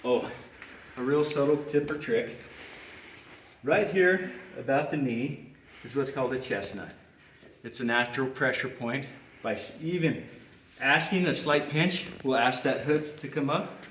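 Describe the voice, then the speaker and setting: A middle-aged man gives a patient, hands-on farrier lesson, talking while bent over a hoof. His voice sits at an ordinary pitch and carries the echo of a large barn. A faint background hiss runs under it. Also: a little slow, long pauses, a bit bright. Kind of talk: hands-on trimming demonstration. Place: roomy barn with concrete floor. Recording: echoey barn.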